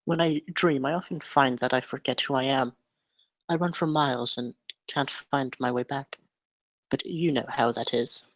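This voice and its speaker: A man acting an educated, melancholy doctor in a script table read, speaking in a formal, measured, articulate way. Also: mid-range voice, fairly even intonation, dry recording, natural voice. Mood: knowing, measured. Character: educated melancholy male doctor, dreamy captive scientist.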